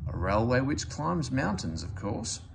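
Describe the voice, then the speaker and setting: A man voicing an old male engine, firm and knowing, gently teasing. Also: mid-range voice, big pitch swings, unhurried, gravelly, slight background noise.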